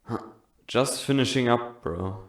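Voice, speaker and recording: A man playing a gruff, slow-witted security goon in an audio drama, talking bluntly and dully. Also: mid-range voice, natural voice. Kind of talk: audio drama comic acting. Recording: roomy echo, faint music behind.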